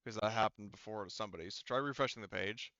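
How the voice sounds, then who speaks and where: Halting male game master, smooth and close. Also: lowish voice, a bit bright, dry recording, dull audio. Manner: halting, rambling, casual. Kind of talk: improvised tabletop roleplaying narration.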